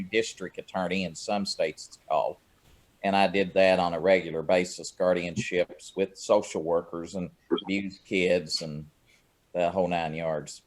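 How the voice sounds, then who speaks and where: A man from Kentucky, an attorney, speaking plainly on a video call. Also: sits low. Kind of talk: casual video call chat.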